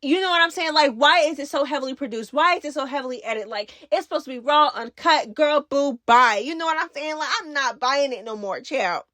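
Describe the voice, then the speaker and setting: Woman commentator, high voice, very dry recording, casual and opinionated, emphatic slangy delivery. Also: brisk, hardly any pauses, sharp tone, dry recording. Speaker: reality TV recap host.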